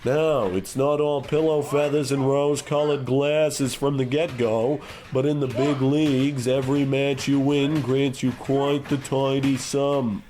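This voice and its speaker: Man acting a slow-talking, self-assured head goon. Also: mid-range voice, flat delivery, warm tone, close to an everyday voice. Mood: earnest explaining. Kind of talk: comic old-time radio play acting.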